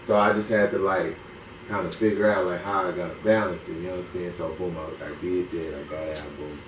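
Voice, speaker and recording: A man's voice: sits low, fairly even intonation, a little fast. A man, a DJ guest on a casual podcast, talking in a laid-back way and leaning on filler phrases. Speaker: DJ and beatmaker guest. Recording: roomy, distant mic, audible noise.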